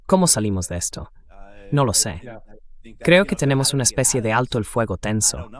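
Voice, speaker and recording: An AI-generated male voice delivering a dubbed political discussion. Its intonation swings in a lively way around a middling pitch, with conversational phrasing like an interview translation. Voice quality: synthetic AI voice. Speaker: translation voice-over.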